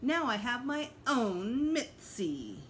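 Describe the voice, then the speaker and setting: A woman's voice: on the high side, big pitch swings, slow pace, slight background noise, pitched above the performer's own voice. A woman voicing an eager, stubborn little boy in a children's book read-aloud. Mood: insistent.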